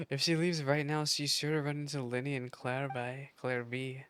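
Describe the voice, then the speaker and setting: A woman's voice: very deep voice, steady tone, moderate pace, clear, resonant tone. A woman streamer giving casual, chatty, playful commentary with exclamations during a game playthrough.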